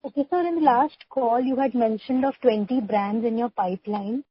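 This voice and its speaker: A woman's voice: fairly high pitch, moderate pace, husky, no room sound. A woman with an Indian accent politely putting questions to company executives as an analyst on a business call. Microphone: conference call line. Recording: dull, lo-fi conference call audio.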